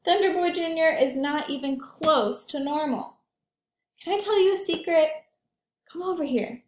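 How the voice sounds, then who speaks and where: Woman reading as a high-voiced, spirited storybook boy. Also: normal speed, darkish tone, lightly acted. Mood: proud, eager. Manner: earnest, emphatic storybook narration. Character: spirited young boy longing for his own name. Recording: echoey room.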